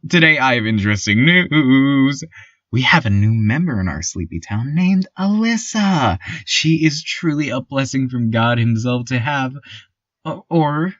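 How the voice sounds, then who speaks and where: A man's voice: a little high, a bit bright, dry recording, muffled recording. A man playing a cheery, doting small-town radio host in an eerie comedic drama, with a gushing, folksy, theatrical manner and stammering asides. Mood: cheerful, welcoming. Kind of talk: scripted comedic radio monologue, community news parody.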